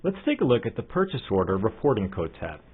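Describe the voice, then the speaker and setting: A man narrating a scripted software tutorial as an instructor, in a methodical, step-by-step way. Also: lowish voice, big pitch swings, quick pace, breathy edge. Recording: muffled, phone-like narrow-band audio.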